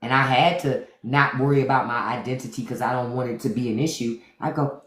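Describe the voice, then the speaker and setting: A woman's voice: a bass voice, fairly even intonation, brisk, reverberant. A woman hosting a casual livestream chat, emphatic, repeating her points and reading viewer comments aloud. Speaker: podcast host. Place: home room on a livestream.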